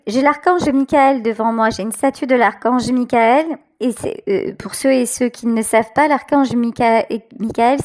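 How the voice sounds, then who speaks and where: A woman with a high voice gives a tarot reading, speaking directly to her viewers. Her pace is very slow, with frequent pauses as she searches for the right words. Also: darkish tone. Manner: hesitant, searching for words, pausing often. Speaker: tarot reader, channel host. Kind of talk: intuitive tarot card reading addressed to viewers.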